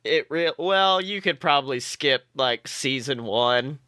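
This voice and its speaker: A man co-hosting a podcast, chatting casually and excitably as a fan, swearing freely. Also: mid-range voice, a little slow, no echo, clean studio sound. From southern United States. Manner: informal, profane, excitable fan talk. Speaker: podcast co-host.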